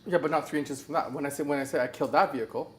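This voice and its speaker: A quick-talking man from Canada, playing a tabletop wargame in a casual live chat. He rattles along at a brisk clip, joking and teasing his friends between moves. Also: on the high side, fairly even intonation. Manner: quick, joking, breaks into laughs. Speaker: wargame player.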